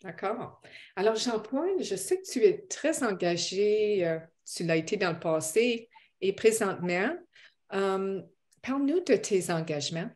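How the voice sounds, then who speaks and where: A woman interviewer who talks slowly and unhurriedly, at a middling pitch. She asks questions gently, pausing on small fillers. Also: animated. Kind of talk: church interview.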